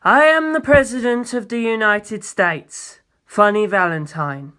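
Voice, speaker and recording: A narrator voicing a suave, grandiose young male president with pompous, formal, drawn-out phrasing in an audiobook. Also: mid-range voice, sharp tone, clean tone, pitched above the performer's own voice. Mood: proud.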